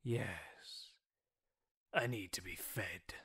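A man's voice: sits low, drawn-out words, a bit bright, slightly rough, heavily acted. An actor playing a brooding, arrogant vampire who recounts his transformation in a formal, theatrical gothic audio drama monologue. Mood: cold, hungry. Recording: music under the voice.

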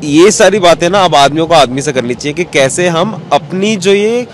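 A man's voice: fairly high pitch, expressive, moderate pace. A man in his thirties answering conversationally as an interview guest outdoors, mixing in English words. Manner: mixes English phrases into his speech. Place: outdoor bench in an open courtyard. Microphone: handheld microphone held out by interviewer. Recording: faint outdoor urban noise.

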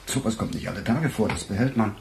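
An actor playing a weary, middle-aged police chief in a radio crime drama, musing in a husky, sardonic, halting way. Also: male voice, lowish voice, a little mellow, a little hiss or hum, lightly acted. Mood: brooding, agitated. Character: weary, embattled male police chief, brooding and gruff. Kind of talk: naturalistic German radio crime drama acting.

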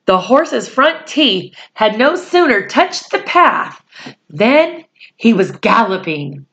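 A woman in her forties reading a storybook aloud to children, deliberate and pausing phrase by phrase, with explanatory asides. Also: fairly high pitch, sing-song, a bit bright, muffled recording. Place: small room at home, indoors.

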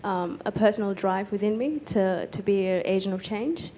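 A young woman from Egypt speaking hesitantly as a panellist on stage, pausing often, into a handheld microphone. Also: medium pitch, warm tone, a little hiss or hum. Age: young adult. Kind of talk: personal account in a panel discussion. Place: stage in an auditorium with audience.

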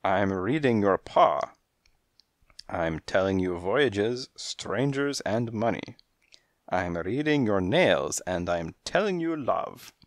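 A man's voice: fairly low pitch, lively intonation, no echo, clean studio sound. A man voicing a theatrical, touchy female cat fortune-teller who speaks broken English with grand drama. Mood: mystical. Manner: broken English, dramatic, quick to take offence. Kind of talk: storybook character voice.

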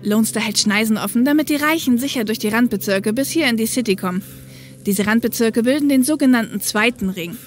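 A woman's voice: on the high side, brisk, natural voice. A woman acting a sober young female co-pilot. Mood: matter-of-fact. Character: female police helicopter co-pilot from Cairo, sober, caring older sister. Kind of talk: German audio drama acting.

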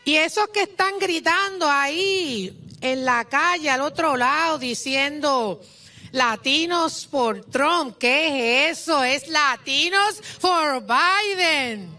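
A woman's voice: sits high, slow pace, bright, forceful tone. A middle-aged woman from Puerto Rico with a Puerto Rican Spanish accent, giving an impassioned political speech at an outdoor podium mic. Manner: impassioned, exhorting the crowd. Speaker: rally speaker.

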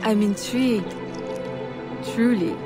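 A woman's voice: medium pitch, slow pace, natural voice. An actress playing a poised, determined young society lady in a video game, formal and politely composed. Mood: politely coy. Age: young adult. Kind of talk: video game cutscene acting. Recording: music behind the voice.

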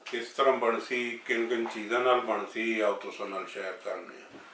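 A man's voice: on the low side, steady tone, sharp tone, slight background noise. Man in a cooking vlog. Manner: unhurried, friendly step-by-step explaining. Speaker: home cook. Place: home kitchen, indoors. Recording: echoey kitchen.

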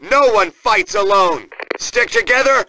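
An actor playing a fierce American Marine sergeant, barking commanding announcements in a military video game. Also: male voice, sits high, animated, unhurried, pushed and bright, a little hiss or hum, full character voice, rough recording. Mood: urgent, fired up. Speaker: multiplayer announcer.

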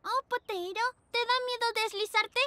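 An actor voicing a sweet, excitable little girl pug puppy in a children's cartoon dub, with an eager, chirpy manner. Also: extremely high pitch, moderate pace, noisy background. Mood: reassuring. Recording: music behind the voice.

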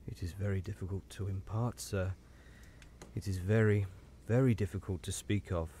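A man voicing a troubled, lonely railway signalman who speaks low and gravely in an audiobook. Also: steady tone, moderate pace, slight background noise, natural voice. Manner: low, hushed, grave speech. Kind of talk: audiobook character reading.

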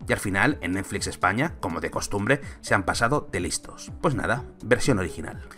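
A man's voice: on the low side, animated, a little fast, pushed and bright, faint music behind. A man in his thirties from Spain, a film commentator giving an argumentative, sarcastic opinion vlog on a close mic.

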